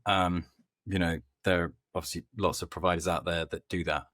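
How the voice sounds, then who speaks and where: A man speaking as a podcast guest, explaining things informally in conversational answers with hesitant pauses. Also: low voice, steady tone, a bit bright, no echo, studio quality. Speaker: podcast guest, company head of growth.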